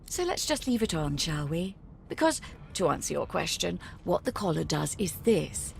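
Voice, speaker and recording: Condescending female game jailer, sweetly mocking, animated, lowish creaky voice. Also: moderate pace, a little hiss or hum. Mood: mocking, patronising. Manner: patronising, teasing, mock-sweet. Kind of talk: video game voice acting.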